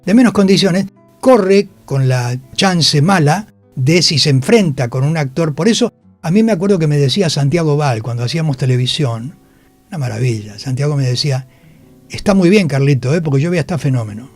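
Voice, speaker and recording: A man's voice: on the high side, lively intonation, a little fast, a little mellow, soft background music. An older man with a Rioplatense Spanish accent, telling anecdotes in a radio interview and acting out the quotes as he goes. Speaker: interviewed actor. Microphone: radio studio microphone.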